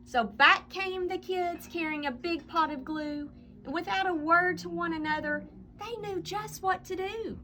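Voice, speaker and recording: A woman reading a children's picture book aloud as a storyteller, with expressive, dramatic delivery and drawn-out emphasis. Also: very high voice, a little hiss or hum.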